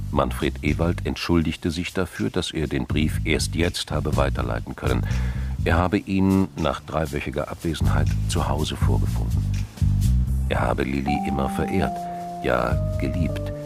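A man narrating a radio drama in a measured storytelling manner, as a scripted literary reading. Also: a bass voice. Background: loud music.

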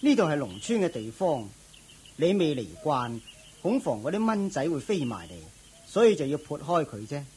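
A man's voice: fairly high pitch, sing-song, normal speed, a little mellow, slight background noise. A man playing a dutiful royal prime minister in a Cantonese audio drama, deferential to his master and dismissive of commoners. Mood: deferential, instructive.

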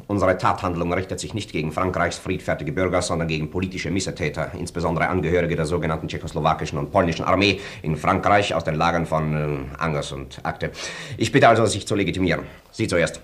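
A brisk, deep-voiced German officer. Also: male voice, fairly bright tone. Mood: officious courtesy. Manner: formal, officious, pedantic. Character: clipped, stiffly polite German army officer at checkpoint. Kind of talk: radio play acting.

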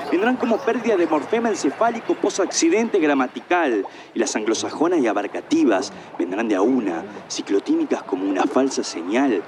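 A man recites spoken-word poetry in a declamatory manner. His mid-pitched voice swings widely up and down through dense wordplay. There is faint noise behind him.

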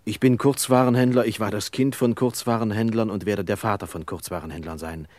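A man plays an earnest shopkeeper in a radio drama. His deep voice is insistent and formal, recorded very dry and close. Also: fairly even intonation, brisk, darkish tone, no echo, close to an everyday voice. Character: earnest, indignant small haberdashery shopkeeper and family man. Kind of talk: German radio play acting.

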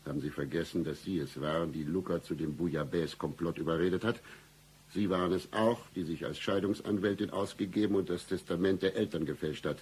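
An actor playing a cynical, deadpan hard-boiled private detective in a satirical noir radio play, with a husky voice. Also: male voice, a little fast. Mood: grim, accusing. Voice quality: very deep, husky, gravelly. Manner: deadpan, accusing, melodramatic.

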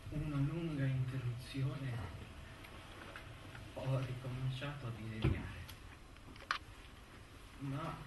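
A man's voice: medium pitch, noisy background. Wounded young man, echoing stage. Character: wounded young man committed to an asylum by his family. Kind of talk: stage monologue acting. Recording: roomy distant stage recording with music. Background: music.

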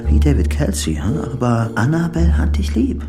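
A lonely, deluded man talking to a sweetheart who is not there. His delivery is very lively, sliding between doting murmurs and curt remarks, with little questioning tags. Soft music sits behind this radio drama voice. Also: mid-range voice, normal speed. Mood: bitterly taunting. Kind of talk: radio play acting.

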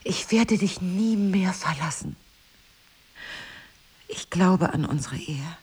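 An actress playing a devoted, determined wife in an intimate drama, earnest and insistent, often dropping toward a whisper. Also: female voice, lowish voice, lively intonation, takes it slowly, darkish tone, breathy edge, exaggerated voice. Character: devoted, determined wife pleading with her husband to stay. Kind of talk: intimate dialogue-driven audio drama.

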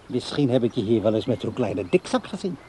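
A man's voice: medium pitch, sing-song, brisk, dark tone, lightly acted. Evasive older man played by an actor, noisy outdoors. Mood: evasive, casual. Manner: evasive, musing. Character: evasive, restless older bachelor, dreamy. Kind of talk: radio play acting. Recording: clear outdoor background noise.

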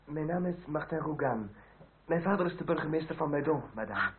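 An actor playing an earnest, deferential young revolutionary in a Dutch radio drama, courteous and somewhat halting in speech. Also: male voice, on the high side, expressive. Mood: apologetic, formal. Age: young adult. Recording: tinny, band-limited, hissy old radio recording.

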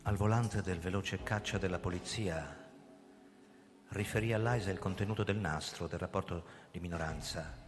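Low male voice, man acting hunted police chief, theatrical, driven, radio play, music underneath. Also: moderate pace, lightly acted. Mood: subdued, tense. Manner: theatrical, swinging from hushed narration to agitated outbursts. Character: hunted middle-aged police chief, driven and desperate, narrating his story. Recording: long hall reverb, music underneath.

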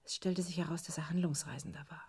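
An actress playing a secretive female captor in a radio play, speaking in a hushed, breathy half-whisper. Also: low voice, fairly even intonation, a crowd in the background, close to an everyday voice. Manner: hushed, rapid. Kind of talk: radio drama acting.

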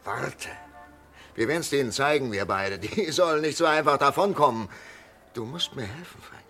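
An actor playing a desperate, compassionate doctor who pleads intensely in a 1960s German radio drama. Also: male voice, mid-range voice, animated, normal speed, soft background music. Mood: urgent pleading. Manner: imploring, intense, swinging from whispers to shouts. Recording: 1960s mono radio play.

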